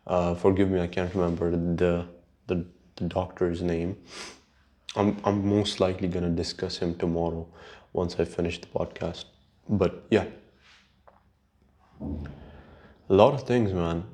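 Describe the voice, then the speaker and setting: Slow, low-voiced man with Urdu accent. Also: steady tone, warm tone. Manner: unhurried, halting, sweary. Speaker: podcast host. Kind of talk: casual rambling solo podcast chat.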